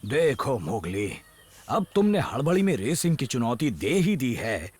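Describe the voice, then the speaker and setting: A man voicing a friendly, caring male bear teacher in a cartoon, expressive and full of advice. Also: medium pitch, measured pace, slight background noise. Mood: coaching. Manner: advising, expressive.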